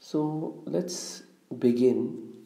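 A man leading a guided visualization, giving instructions in a deliberate, measured way with long pauses. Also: on the high side, creaky, mellow. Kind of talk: guided meditation, slow instructional narration. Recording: roomy, echoing room sound.

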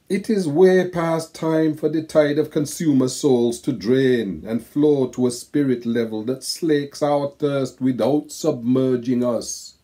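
Older man from the Caribbean, slow poetic letter reading, low mellow voice, home webcam. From Saint Kitts and Nevis. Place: home room with bookshelves.

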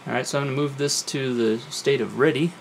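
Man, thirties, creaky voice, casual tech walkthrough, slight background noise. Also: medium pitch, fast talker. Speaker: tech video host. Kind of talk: casual hands-on tech demo. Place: home lab room, indoors.

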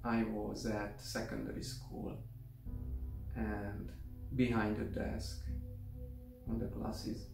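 A young man from Hungary with a Hungarian accent, talking to the camera in a casual, halting way at home. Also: fairly low pitch, completely flat delivery, gravelly, darkish tone, reverberant, far from the mic, faint music behind, poor audio. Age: young adult. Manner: halting, careful, with restarts. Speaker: vlogger. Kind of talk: casual vlog monologue. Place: room at home, indoors. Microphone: camera mic at a short distance.

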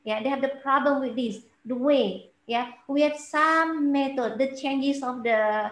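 An Indonesian woman lecturer explaining in halting English on a video call, filling her talk with "yeah" and "okay" and often restarting phrases. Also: high voice, fairly bright tone, roomy sound. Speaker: lecturer, workshop speaker.

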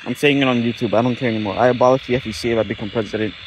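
A man's voice: mid-range voice, steady tone, racing, low-quality recording. Casual man thinking aloud, faint static. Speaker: radio hobbyist commenting on received CB chatter. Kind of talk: casual off-the-cuff commentary.

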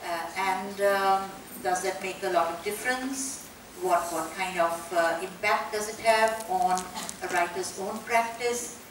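A woman's voice: mid-range voice, unhurried, a little hiss or hum. A middle-aged woman moderating a panel conversation on an auditorium stage, hesitant, with many filler sounds. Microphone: handheld mic. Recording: echoey hall heard from far off.

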